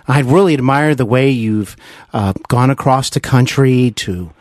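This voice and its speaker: A man's voice: mid-range voice, takes it slowly, a little mellow, dry recording. An American man hosting a conversational interview in an earnest, admiring manner, close to the microphone. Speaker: interview host. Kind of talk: conversational radio-style interview.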